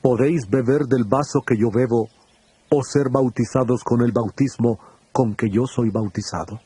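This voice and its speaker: A man's voice: mid-range voice, normal speed, mellow, slightly rough, dry recording, close to an everyday voice. A man voicing solemn teacher Jesus. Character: Jesus, calm earnest adult male teacher. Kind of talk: dramatized scripture reading.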